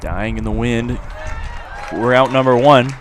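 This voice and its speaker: A man's voice: medium pitch, unhurried. Male baseball play-by-play announcer over crowd noise. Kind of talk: live radio sports commentary. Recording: outdoor ballpark crowd and ambient noise. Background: ballpark crowd, outdoor noise.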